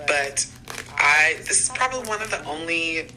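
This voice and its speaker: A man's voice: on the high side, lively intonation, normal speed, sharp tone, rough recording. A man hosting a video of paranormal clips, giving casual commentary to viewers.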